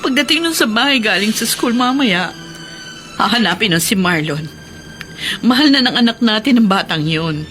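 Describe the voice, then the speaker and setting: A woman's voice: fairly high pitch, expressive, moderate pace, a bit bright, soft background music. An actress playing an anguished, protective working-class mother, plaintive and emotional in a Filipino radio drama. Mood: sorrowful.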